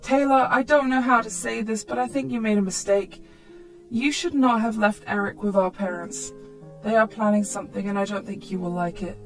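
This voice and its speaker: A woman's voice: medium pitch, steady tone, normal speed, soft background music, natural voice, poor audio. A woman narrator voicing a concerned adult sibling in a story, earnest and careful.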